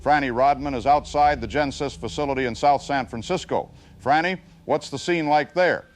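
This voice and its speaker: A man's voice: medium pitch, moderate pace, natural voice. A man playing a sober, authoritative television news anchor in a scripted audio drama. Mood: sober, formal. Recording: music bed under the voice.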